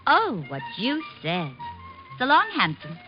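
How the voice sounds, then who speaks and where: A woman's voice: a little high, huge pitch swings, slow, over loud music, heavily acted. Sassy, lilting nightclub showgirl. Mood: sly, flirty. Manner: slangy, drawling, sassy. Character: wisecracking, flirtatious, cynical nightclub showgirl. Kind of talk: 1940s-50s radio detective drama acting. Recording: dull lo-fi old radio recording.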